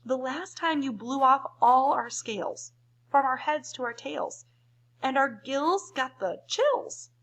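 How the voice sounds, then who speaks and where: Plaintive little storybook fish, a woman acting it out, pleading, high and swooping. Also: expressive, slow, fairly bright tone, heavily acted.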